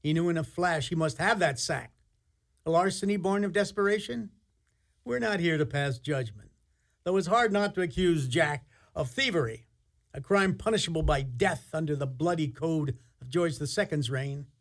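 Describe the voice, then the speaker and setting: A man's voice: fairly high pitch, animated, moderate pace. A man narrating a scripted radio play as storyteller, in a theatrical and wry manner.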